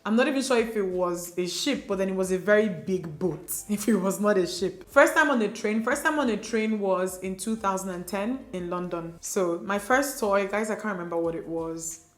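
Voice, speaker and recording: A woman's voice: medium pitch, moderate pace, hardly any pauses, roomy sound. A young Nigerian woman whose first language is Igbo, chatting casually to camera with lots of fillers. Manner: chatty, filler-heavy, confiding. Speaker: beauty vlogger.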